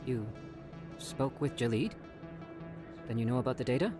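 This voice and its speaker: An actor voicing an eager, evasive alien scientist in a video game, with a persuasive, self-justifying manner. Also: male voice, medium pitch, normal speed, music in the background, lightly acted. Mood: uneasy. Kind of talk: video game character acting.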